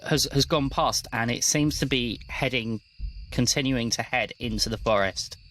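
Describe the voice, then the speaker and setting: A man's voice: mid-range voice, normal speed, fairly bright tone, slight background noise, low-quality recording. A man working as game master, narrating a tabletop roleplaying game in a conversational way.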